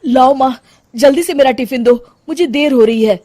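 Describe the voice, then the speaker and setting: A woman plays a henpecked young cartoon husband with a fairly high voice. He is meek and servile, always complaining, recorded close and dry. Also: normal speed, warm tone, no echo. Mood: flustered. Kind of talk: Hindi cartoon comedy acting.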